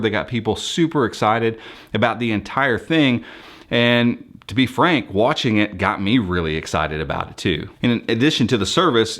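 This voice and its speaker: An American man with a fairly low voice talks to viewers as a tech presenter. He explains things off the cuff in a conversational style. His delivery is enthusiastic. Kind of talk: unscripted explainer commentary to viewers.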